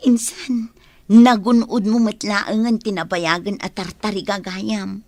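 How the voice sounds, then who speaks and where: A woman's voice: medium pitch, takes it slowly, a little mellow, no echo. A woman playing a supportive cousin and confidante in a radio drama, conversational and reassuring. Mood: pleased, reassuring.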